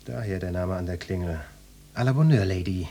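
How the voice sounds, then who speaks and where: A swaggering police detective in a radio drama, with a lowish voice. He is brash and leering, barking orders and talking in pompous flourishes. There is clear noise on the recording. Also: brisk. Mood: bossy, suspicious. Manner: gruff, bossy, pompous flirtatious address. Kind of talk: German radio drama acting, parodic hard-boiled cop.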